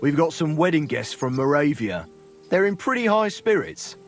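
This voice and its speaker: An actor playing a helpful common castle guard in a video game, with a folksy, cautioning manner. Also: male voice, on the high side, sing-song, moderate pace, faint music behind.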